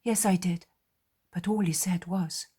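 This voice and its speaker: A woman voicing John, a tender and devoted young male disciple who speaks earnestly and sighs softly. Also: high voice, steady tone, measured pace, breathy edge, voice pushed up. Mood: worried. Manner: earnest and soft, sighing.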